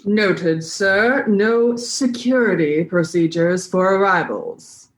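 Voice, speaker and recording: A woman's voice: lowish voice, expressive, takes it slowly, echoey room. A woman playing a nervous, dutiful junior officer in a comedy sci-fi radio play, reporting deferentially.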